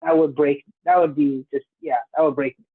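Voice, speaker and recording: High, husky young man on a thin line. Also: level tone, a little fast, dry recording. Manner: laughs often, teasing. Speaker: podcast co-host. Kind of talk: casual podcast chat. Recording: phone-like narrow sound.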